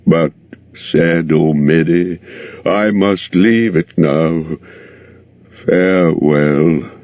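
A man voicing a dying male dwarf king, proud and remorseful, speaking in a slow, solemn way. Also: low voice, no room sound. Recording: dull lo-fi recording.

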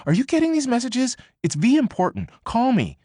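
A man's voice: very high-pitched, huge pitch swings, warm tone, no echo, studio quality, pitched above the performer's own voice, heavily acted. A man voicing a brash, pushy young woman. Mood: agitated. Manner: quick, emphatic, swearing freely. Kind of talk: audiobook character voice.

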